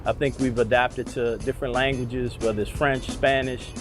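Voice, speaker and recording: A man's voice: medium pitch, flat delivery, moderate pace. New Orleans man over music. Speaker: interviewee. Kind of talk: street interview clip. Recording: interview clip with music underneath.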